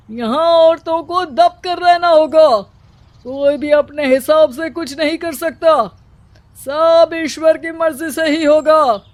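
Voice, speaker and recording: A voice actor playing a domineering male village headman in a cartoon story, commanding and scornful. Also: extremely high pitch.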